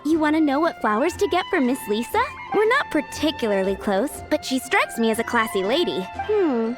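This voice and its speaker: A woman's voice: sits high, big pitch swings, a little fast, over music. A woman playing a helpful, decisive young girl in a video game. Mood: thoughtful, decisive. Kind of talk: video game character acting.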